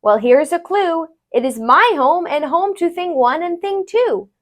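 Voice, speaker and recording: A woman tutor reading a rhyming children's book aloud, expressively and deliberately, with sing-song phrasing. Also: extremely high pitch, lively intonation.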